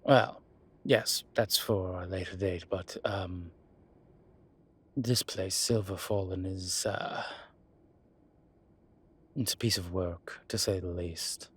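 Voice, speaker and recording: A man playing a suave, wry incubus demon professor in an intimate audio roleplay, with a husky voice and hesitant pauses. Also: sits low, steady tone, a bit bright, full character voice. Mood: measured explaining.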